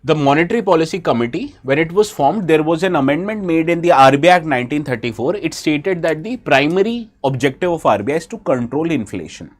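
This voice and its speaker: A man's voice: on the high side, lively intonation, fast, hardly any pauses. A man with an Indian English accent, who has a bad cold, gives a live exam-prep lecture and keeps asking his students questions. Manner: asks students questions, switches briefly into Hindi.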